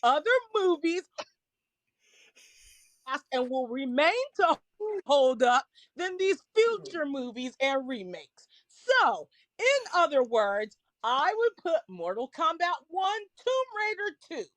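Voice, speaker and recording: Podcast panelist: woman, high voice, argumentative and emphatic, repeats her points, close dry sound. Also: big pitch swings, slow pace, no echo, poor audio. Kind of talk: heated casual debate.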